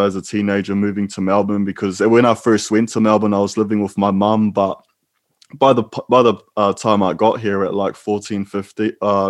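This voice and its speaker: A man with a New Zealand accent, a former prisoner, talking as a guest in a casual, rambling way. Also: on the low side. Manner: laid-back, rambling, calls the host bro. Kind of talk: casual interview talk.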